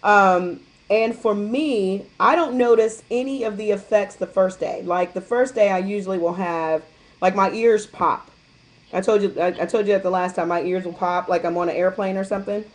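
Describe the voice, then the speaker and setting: A woman's voice: mid-range voice, normal speed. Chatty, candid woman telling stories. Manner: candid, chatty storyteller who acts out quotes. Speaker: guest distributor sharing her experience. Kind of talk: casual chat on a conference call.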